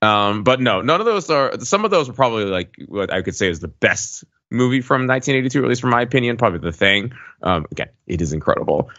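A man's voice: medium pitch, big pitch swings, quick pace, a bit bright, dull audio. A man in his forties in casual conversation as a podcast co-host. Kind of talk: casual chat.

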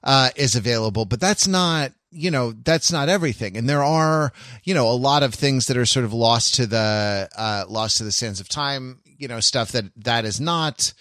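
A middle-aged American man with a medium-pitched voice reflects casually on a podcast. He is introspective and halting, often cutting himself off to rephrase, and the sound is dry with no room echo. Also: a bit bright. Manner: halting, self-interrupting, introspective. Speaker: podcast co-host.

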